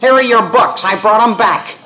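A woman's voice: a little high, moderate pace, bright, forceful tone. Awkward, sulky teenage boy. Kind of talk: 1950s radio sitcom acting. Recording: faintly noisy vintage radio recording.

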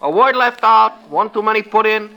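An actor playing a breezy, confident gambler in a 1940s radio drama. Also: male voice, high-pitched, moderate pace, vocal fry, bright, forceful tone, exaggerated voice. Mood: cocky. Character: cocky gambling man, bets on anything.

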